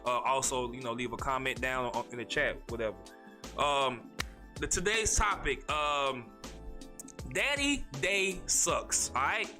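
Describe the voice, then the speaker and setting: A man from North Carolina, hosting a casual solo podcast in a rambling, slangy way, talking fast with a stutter. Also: mid-range voice, lively intonation, pushed and bright, faint music behind. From North Carolina, USA. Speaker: podcast host.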